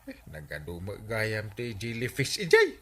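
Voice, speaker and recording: An excitable village captain in an Ilocano comedy drama, with a bright, forceful voice that leaps wildly around. He is whiny, hesitant and full of exclamations in an Ilocano accent. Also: fairly low pitch, measured pace, full character voice. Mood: regretful.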